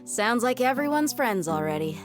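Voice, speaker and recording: A woman's voice: a little high, very animated, moderate pace. A woman voicing a confident, streetwise female mercenary in a video game, casual and self-assured, with music behind. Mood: friendly. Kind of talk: game character voice acting.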